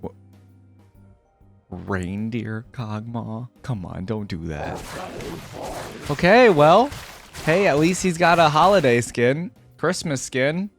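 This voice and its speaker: A man gives off-the-cuff reaction commentary in a mid-range voice with very lively, swinging intonation. He talks conversationally and haltingly, working out his opinions as he goes. Faint music plays behind him. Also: mid-range voice. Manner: halting, conversational, thinking aloud. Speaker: reaction video host. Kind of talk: casual unscripted reaction commentary. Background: background music.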